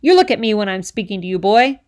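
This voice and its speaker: A woman reading an audiobook, voicing a blustering, bigoted middle-aged male island policeman who lectures in rough, folksy grammar. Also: medium pitch, normal speed, lightly acted. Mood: loud, bullying.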